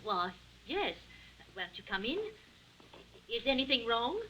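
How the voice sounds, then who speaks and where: A noisy old radio recording. a middle-aged landlady, high and animated, genteel and fussy, fluttering politely through a thriller. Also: a little slow, exaggerated voice. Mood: polite, flustered. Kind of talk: old-time radio drama acting.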